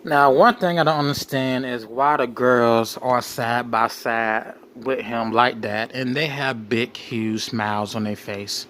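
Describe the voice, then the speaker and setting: A man hosting a crime commentary, reading out case details in a halting way and often repeating his phrases. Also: medium pitch, fairly even intonation, a bit bright, a little hiss or hum. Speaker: show host.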